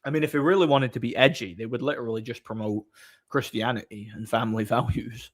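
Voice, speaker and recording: Guy streaming, mid pitched voice, blunt opinions, rambles and stumbles along casually. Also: fairly even intonation, fast. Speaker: livestream host. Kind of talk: casual off-the-cuff chat, answering viewer comments.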